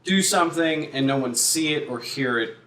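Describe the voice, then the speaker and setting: A man playing a tabletop roleplaying game, talking casually and in a rambling, animated way. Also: fairly high pitch, moderate pace. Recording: roomy, distant mic, faint noise.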